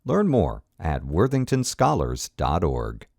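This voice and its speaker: Gravelly, deep announcer reading a sponsor spot. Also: male voice, wildly swooping pitch, takes it slowly, a little mellow, dry recording, clean studio sound. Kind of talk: scripted sponsor read.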